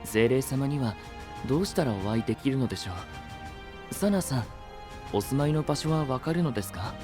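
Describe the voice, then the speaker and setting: A man playing a gentle, refined young gentleman in an anime-style audio drama, speaking very formally and politely. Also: medium pitch, animated, moderate pace, music in the background, natural voice. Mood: politely curious.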